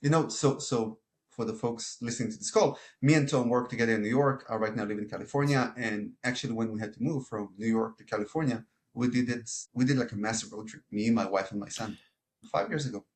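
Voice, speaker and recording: A man in his thirties speaks with an Israeli accent, at an ordinary middle pitch for a man. He tells stories in an easygoing, wry way, with dry jokes as he goes. It sounds like a relaxed guest in a casual podcast chat. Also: fast. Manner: wry, joking, relaxed storytelling. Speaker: podcast guest, product manager. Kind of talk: casual interview conversation.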